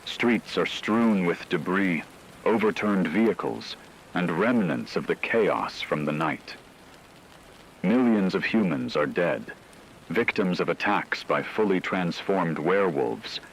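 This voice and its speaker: A man's voice: sits low, a bit bright, slight background noise, low-quality recording. A man reading a scripted emergency radio broadcast as a newscaster, in a grave, solemn manner. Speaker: radio newscaster in a horror story.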